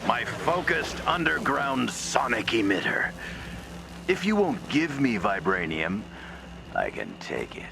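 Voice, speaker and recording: An actor playing a gloating, menacing arms-dealer villain in a video game, gravelly, mocking and theatrical. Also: male voice, mid-range voice, wildly swooping pitch, slow, noisy background, full character voice. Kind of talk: dramatic video game acting. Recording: loud game score behind.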